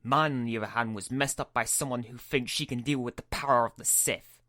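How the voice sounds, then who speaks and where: Man playing a forceful, imperious Sith villain. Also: medium pitch, fairly even intonation, a little fast, no echo, clean studio sound, natural voice. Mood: irritated, scornful. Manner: imperious, scornful, curt. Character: menacing, irritated Sith lord villain. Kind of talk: Minecraft roleplay web series voice acting.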